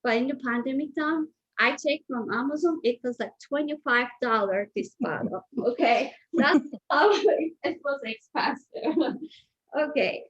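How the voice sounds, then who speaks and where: High-voiced Turkish-accented woman, chatty. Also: a little slow, husky. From Turkey. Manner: chatty, often asks "okay?", loose non-native grammar. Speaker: guest chef. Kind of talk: informal cooking demonstration. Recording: dull lo-fi sound.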